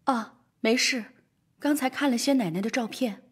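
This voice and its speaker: A woman playing a tearful, evasive young wife in an audio story, speaking softly and wearily in brief, subdued replies. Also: fairly high pitch, moderate pace, slightly rough, pitched above the performer's own voice. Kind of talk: audio horror story dramatization.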